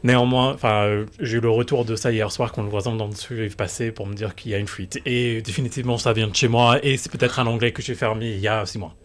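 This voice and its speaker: Man, casual chat, dry humor, unhurried. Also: on the low side, steady tone.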